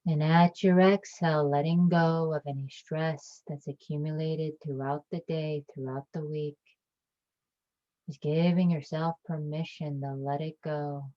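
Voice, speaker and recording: A woman leading a guided meditation with calm, gentle, soothing instructions and long pauses between phrases. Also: low-pitched. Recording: dull lo-fi recording.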